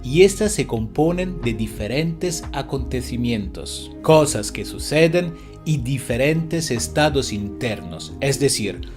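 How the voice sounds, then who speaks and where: Male educational presenter, native Italian speaker, mid-range voice, instructive scripted delivery over a clearly audible music bed. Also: medium pitch. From Italy. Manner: didactic, rhetorical questions. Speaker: stroke rehabilitation therapist presenting an educational video. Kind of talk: scripted educational narration addressed to viewers.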